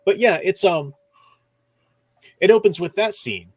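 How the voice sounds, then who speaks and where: A man's voice: high-pitched, a little slow, darkish tone, no echo. A man hosting a casual discussion stream, rambling, restarting sentences and groping for names as he talks. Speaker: podcast host.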